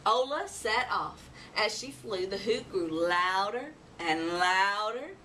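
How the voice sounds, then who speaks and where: Animated woman reading a children's story. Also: mid-range voice, slow. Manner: animated, acts out animal sounds and voices. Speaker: storybook reader. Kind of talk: expressive children's story read-aloud. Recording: noisy, distant microphone.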